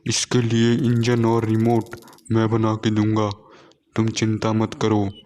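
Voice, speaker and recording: A man's voice: on the low side, monotone, unhurried, a little mellow, lightly acted. A man voicing an encouraging, clever village inventor in a children's story cartoon. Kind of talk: animated storybook character dub.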